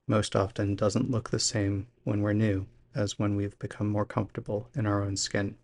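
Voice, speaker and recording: A man reading a daily meditation aloud for a podcast in a measured, even delivery. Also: fairly low pitch, gravelly, no echo, studio quality. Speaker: podcast reader.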